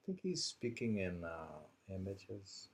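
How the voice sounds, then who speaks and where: A man reading poems aloud and discussing them casually, in a deliberate way, repeating lines and musing on them. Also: deep voice, sing-song, husky. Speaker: poetry reader and commentator.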